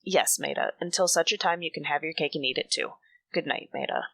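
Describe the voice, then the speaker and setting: Low, creaky, bitter soap opera woman, performed. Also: brisk, a bit bright, no echo, full character voice. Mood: cold, accusing. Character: bitter, defensive mature woman, jealous of her rival. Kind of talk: radio soap opera acting.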